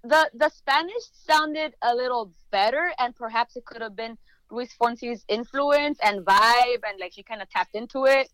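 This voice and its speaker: Chatty young woman, smooth clear voice. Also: on the high side, moderate pace, a bit bright, no echo, poor audio. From United States, Chicano family. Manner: chatty, many "like" fillers. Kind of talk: casual podcast chat.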